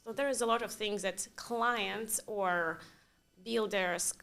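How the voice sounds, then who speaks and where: A woman whose first language is Russian, a designer speaking as a guest in a casual interview, pausing to search for words in non-native phrasing. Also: medium pitch, vocal fry, fairly bright tone.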